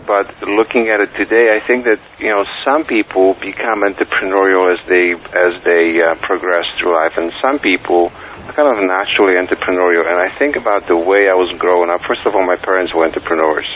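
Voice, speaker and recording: A man's voice: low voice, steady tone, fairly quick. A middle-aged man from Poland with a Polish accent, speaking as an interview guest in a casual, hesitant way with many fillers. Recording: tinny phone line with faint hiss.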